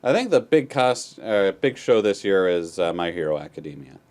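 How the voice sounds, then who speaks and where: A man with a fairly low voice drops brief, wry remarks into a group chat. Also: big pitch swings, moderate pace, darkish tone. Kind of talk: casual chat.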